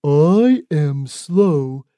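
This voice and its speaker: A woman voicing a slow, humble, determined tortoise for a children's storybook, with a plodding, deliberate delivery. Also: low-pitched, lively intonation, dark tone, no echo, studio quality, pitched below the performer's own voice, exaggerated voice. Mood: calm, determined.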